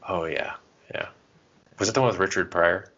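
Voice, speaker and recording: A man's voice: sits low, normal speed, fairly bright tone, lo-fi sound. A man co-hosting a podcast in casual chat, with an irreverent, self-deprecating way of talking. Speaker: podcast co-host.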